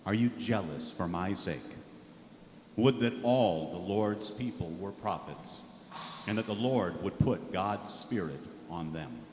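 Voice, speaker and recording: A man's voice: sits low, expressive, takes it slowly, a little mellow. A man reading scripture dramatically in church as Moses, a burdened, exasperated leader pleading. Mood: earnest. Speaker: scripture reader voicing Moses. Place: large church indoors. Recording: echoey church hall, audible noise.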